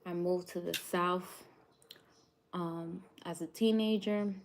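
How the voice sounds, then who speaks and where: A woman's voice: lowish voice, steady tone, slow, slight background noise. A woman from New York hosting a live cooking stream, chatting casually with viewers and laughing often. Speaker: cooking show host.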